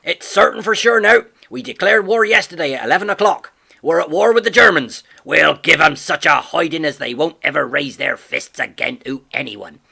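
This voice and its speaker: A blustering, boastful farmer father, as a male reader acts him, calling out loud and high with lively swings. The voice is bright and husky, brash and excited. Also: normal speed, exaggerated voice. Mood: triumphant. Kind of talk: audiobook character voice.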